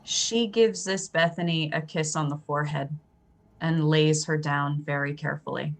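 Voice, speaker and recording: A woman playing a tabletop roleplaying game, narrating her character's actions in the third person in a deliberate, measured way. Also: low-pitched, fairly even intonation.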